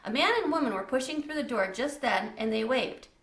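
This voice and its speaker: A woman's voice: fairly high pitch, animated, brisk, a bit bright, echoey room. A woman reading a children's book aloud as a storyteller, in a scripted narration style.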